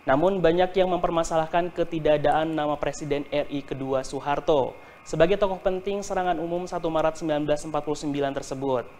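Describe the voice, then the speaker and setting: A man's voice: a little high, steady tone, quick pace, darkish tone, slight background noise. A young man reading scripted news as a TV anchor.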